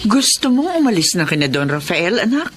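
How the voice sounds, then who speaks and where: Woman doing a kindly filipino nanay, middle-aged, very expressive swoopy voice, radio drama. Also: medium pitch, a little fast, loud music behind the voice, lightly acted. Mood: questioning. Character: concerned, kindly middle-aged mother of a grown daughter.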